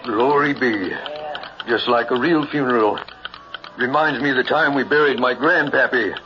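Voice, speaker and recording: A man's voice: medium pitch, normal speed, natural voice. A man acting a plain-spoken, folksy country onlooker at a carnival in a 1960s radio drama. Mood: obliging, awed. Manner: folksy, colloquial. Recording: noisy dull vintage radio recording.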